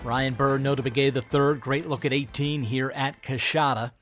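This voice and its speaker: A man doing play-by-play commentary for a televised golf broadcast, measured and steady. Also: medium pitch, faint music behind. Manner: measured, builds up excitement when announcing a winner.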